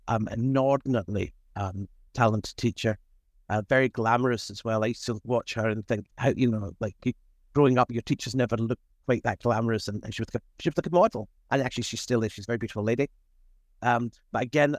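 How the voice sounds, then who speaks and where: A man's voice: medium pitch, dry recording. A man in his fifties giving casual, reflective interview answers in a modest, rambling, conversational way. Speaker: podcast guest, food safety executive.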